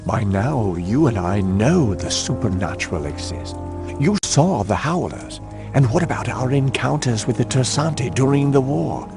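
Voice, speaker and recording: An actor playing an ailing, haunted lord of a cursed manor, telling his tale gravely and ominously in a dramatic game cutscene. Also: medium pitch, sing-song, a little slow, music in the background. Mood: grave, fearful.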